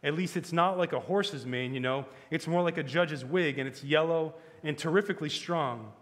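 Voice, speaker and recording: A man's voice: a little high, moderate pace, a bit bright, long reverb tail, lightly acted. A man voicing a plucky, blunt English schoolboy in a children's fantasy audiobook, with hearty old-fashioned slang. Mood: exasperated, earnest.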